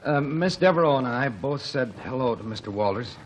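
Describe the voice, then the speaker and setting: A man playing a hesitant assistant stage manager testifying in a 1949 radio drama, plain-spoken and halting. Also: medium pitch, lively intonation, a little hiss or hum, natural voice, rough recording. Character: Tom Kalish, nervous young assistant stage manager. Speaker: witness. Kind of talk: 1940s radio drama acting.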